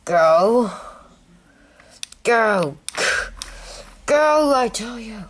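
A woman voicing an angry, vengeful friend who makes low, slow threats in a told story. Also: slight background noise, close to an everyday voice. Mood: commanding.

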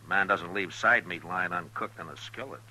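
An actor playing a calm, steady frontier lawman in a western radio drama, terse and plainspoken. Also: sits low, moderate pace, husky, full character voice. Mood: puzzled, watchful. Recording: noisy 1950s radio recording.

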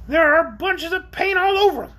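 A reader voicing a shrill, scornful and self-pitying male orc creature in a storybook, with a plaintive, complaining manner. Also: expressive, normal speed, sharp tone, full character voice. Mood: indignant. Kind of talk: acted storybook character.

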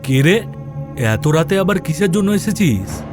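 A cunning cartoon moneylender, acted by a man, with a high voice that swings up and down. He drawls slowly and haughtily, mocking whoever he talks to, over background music. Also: very animated. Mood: scornful. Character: cunning, sneering male village moneylender. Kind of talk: animated horror story acting.

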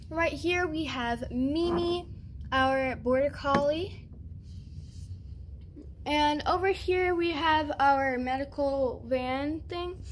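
A young woman with a high voice talks slowly through a chatty show-and-tell, filming on a handheld phone indoors. A faint hiss of room noise sits under her voice. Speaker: channel host. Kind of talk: casual toy barn tour. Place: indoors at a tabletop toy barn setup.

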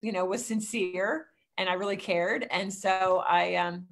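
A woman's voice: medium pitch, steady tone, measured pace. Woman, 50s, Philadelphia accent, rambling storyteller, home video-call guest. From Philadelphia area. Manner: chatty storyteller with frequent ums. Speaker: guest, real estate coach. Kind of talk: casual video-call interview. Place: home room, indoors.

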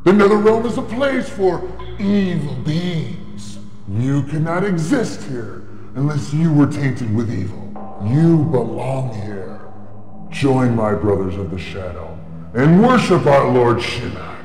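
An evil undead sorcerer for a video game, menacing and grandly theatrical. His pitch swoops widely as he taunts and commands. The voice rings in a long hall echo with music behind it. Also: sing-song, darkish tone. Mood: menacing, taunting. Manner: theatrical, commanding, drawn-out delivery. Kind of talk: fantasy video game cutscene acting.

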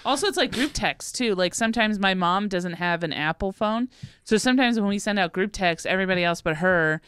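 A woman's voice: mid-range voice, brisk, a bit bright, slight background noise. A young woman chatting informally as a panelist, telling everyday anecdotes and acting out exchanges. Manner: informal, acts out quoted exchanges. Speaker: podcast panelist. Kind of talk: casual chat with anecdotes. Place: small podcast studio. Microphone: close studio microphone on arm.

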